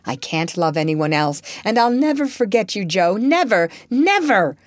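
A woman's voice: lowish voice, lively intonation, unhurried, darkish tone, no echo, studio quality. A woman voicing a passionate, heartbroken young man pleading in love, in a storybook reading. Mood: desperate. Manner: pleading, impassioned.

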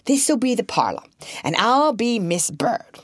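A woman's voice: big pitch swings, unhurried, no echo, studio quality. Woman voicing a bossy proud country mother. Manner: scolding, high-pitched and emphatic. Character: bossy proud poor mother, rustic speech.

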